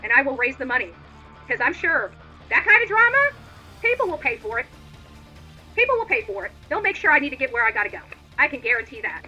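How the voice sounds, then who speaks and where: A woman's voice: sits high, sing-song, fast talker, pauses often, sharp tone, breathy edge, dull audio, soft background music. A woman livestream host delivering an indignant, opinionated rant in a combative, emphatic and repetitive manner.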